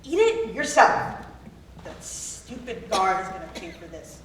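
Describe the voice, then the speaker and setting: A woman's voice: mid-range voice, sing-song, a little slow. A woman playing an angry, defiant prisoner in a staged reading, indignant and combative. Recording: roomy stage, distant mic, faint noise.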